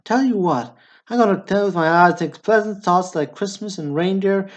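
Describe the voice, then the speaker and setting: A man's voice: sits high, normal speed, natural voice. An actor playing a belligerent small-town tough guy who needles people, in an amateur radio play. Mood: taunting. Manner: taunting, needling. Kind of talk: amateur radio drama acting. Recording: dull lo-fi radio drama recording.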